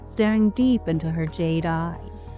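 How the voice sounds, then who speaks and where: A woman narrating an audio drama in a slow, deliberate, grave way. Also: fairly low pitch, over music. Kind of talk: scripted narration.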